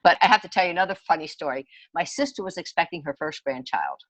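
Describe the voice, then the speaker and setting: Older woman with a lowish voice, chatting. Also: lively intonation, fast talker, no echo. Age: 60s. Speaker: podcast guest, homeopathy enthusiast. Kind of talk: conversational personal storytelling.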